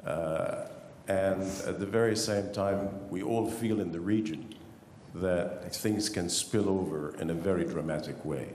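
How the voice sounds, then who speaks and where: A man's voice: deep voice, measured pace, darkish tone, a little hiss or hum. A man from Egypt earnestly putting a formal question to a panel. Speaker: audience member asking a question. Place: large conference hall. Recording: echoey hall with faint ambience.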